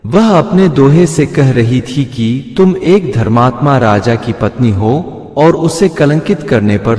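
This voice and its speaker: A man's voice: medium pitch, a little mellow. A man voicing a young, penitent queen who confesses formally and respectfully in a storybook tale. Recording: echoey hall-like reverb.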